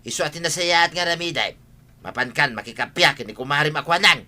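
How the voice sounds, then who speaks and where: A man's voice: on the high side, expressive, fairly quick, heavy background noise, lightly acted. A man acting an exasperated village husband in an Ilocano comedy radio drama, pleading and exclaiming, with an Ilocano accent. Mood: scolding.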